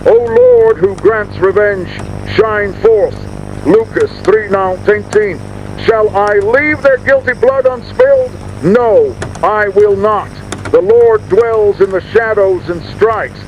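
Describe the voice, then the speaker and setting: A muffled, faintly noisy recording of a male preacher played by an actor, his voice pitched high. He solemnly declaims scripture in a radio horror drama, grave and menacing, preaching vengeance. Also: expressive, slow pace, heavily acted. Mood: fervent, vengeful. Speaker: church reverend. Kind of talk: recorded sermon, scripture reading.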